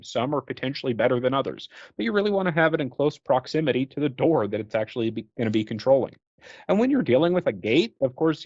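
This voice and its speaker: A man's voice: mid-range voice, dry recording. Male training presenter, conversational explainer with loose, off-the-cuff phrasing; dry, slightly lo-fi sound. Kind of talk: informal instructional slide narration.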